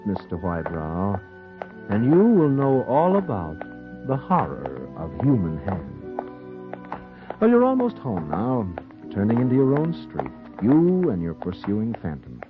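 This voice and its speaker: Sinister noir narrator: low, swooping, ominous delivery, taunting his victim on a tinny old radio recording. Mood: menacing, urgent. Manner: theatrical, ominous, insistent second-person address. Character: sinister, taunting male narrator addressing a doomed man. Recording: muffled, tinny 1940s radio recording, music behind.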